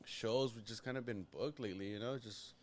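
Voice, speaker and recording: A man guesting on a podcast, chatting loosely and swearing freely, with plenty of "dude" thrown in. His voice sits at an ordinary middle pitch. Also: brisk, darkish tone. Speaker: podcast guest, band member. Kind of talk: casual chat.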